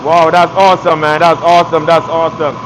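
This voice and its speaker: A man's voice: fairly high pitch, little pitch movement, fairly quick, background noise. A man from Barbados with a Barbadian accent, chatting casually on a live stream while doing body work in an auto shop. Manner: laid-back, repeats "man" and "you know what I mean".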